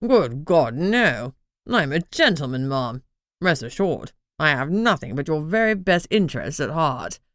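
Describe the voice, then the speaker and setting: A woman voicing a posh, disgruntled male talking crown who speaks in a pompous, gentlemanly way. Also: low voice, big pitch swings, a little slow, dry recording, studio quality, pitched below the performer's own voice. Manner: pompous, formal.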